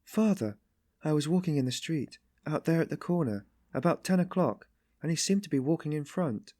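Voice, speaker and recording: A man's voice: a little high, lively intonation, normal speed, mellow, no room sound, clean studio sound, pitched above the performer's own voice. A man voicing an anguished, meek but passionately compassionate young woman, pleading in breathless exclamations and repetitions for an audiobook story. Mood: hesitant.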